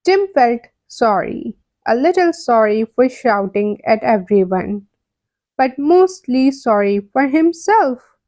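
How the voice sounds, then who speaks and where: A woman reading a children's story aloud for language learners in a deliberate, expressive way. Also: a little high, dry recording. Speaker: story reader for English learners. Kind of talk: scripted read-aloud children's narration.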